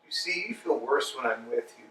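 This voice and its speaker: A man's voice: mid-range voice, a little fast, a little hiss or hum. An actor playing a weary, beaten-down male tramp in a staged play reading, gloomy and plaintive, recorded in an echoey room from a distance. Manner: gloomy and plaintive, flat denials. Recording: echoey room, mic far off.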